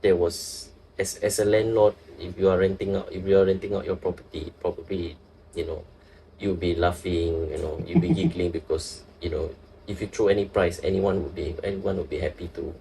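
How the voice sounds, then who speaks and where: Low gravelly Singaporean man. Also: flat delivery, mellow, a little hiss or hum, poor audio. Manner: earnest, halting, with many restarts and fillers. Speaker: guest, nurse. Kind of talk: casual interview.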